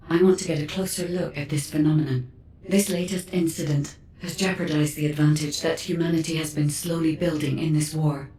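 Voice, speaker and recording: Woman as a low-voiced formal game researcher, echoey. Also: warm tone, far from the mic, natural voice. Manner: measured, formal. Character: composed, formal female researcher briefing a soldier. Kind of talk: video game dialogue acting.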